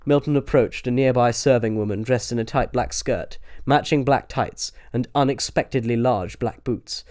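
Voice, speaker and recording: A man's voice: medium pitch, moderate pace, a little mellow. A man reading a scripted comic audiobook as narrator.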